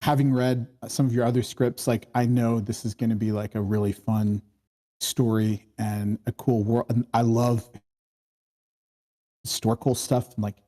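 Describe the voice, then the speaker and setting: Mellow-voiced man chatting casually. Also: medium pitch, little pitch movement, normal speed. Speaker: participant giving script feedback. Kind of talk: casual chat.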